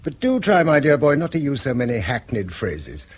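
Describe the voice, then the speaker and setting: Donnish English schoolmaster, husky voice. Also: male voice, mid-range voice, wildly swooping pitch, moderate pace. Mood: donnish, chiding. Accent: English Received Pronunciation. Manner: precise, donnish, gently scolding. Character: pedantic, mild-tempered English schoolmaster and husband. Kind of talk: mid-century British radio drama acting.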